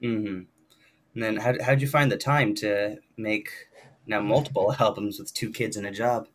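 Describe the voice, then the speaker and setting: Guy hosting a chill interview, lowish voice, friendly, lots of ums. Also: fairly even intonation, normal speed. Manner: friendly, encouraging, hesitant with fillers. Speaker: interview host. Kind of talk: casual interview chat.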